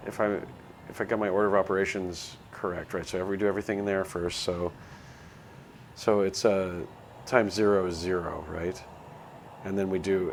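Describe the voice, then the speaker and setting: A man live-streaming a visual novel, reading text aloud and chatting in a self-deprecating way as he thinks out loud. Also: low voice, a little hiss or hum. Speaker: game streamer.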